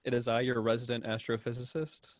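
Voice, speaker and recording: A man's voice: medium pitch, monotone, fairly quick, over music. An American man chatting casually with a dry, joking manner. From United States, Ohio. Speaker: show's musician. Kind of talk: casual chat.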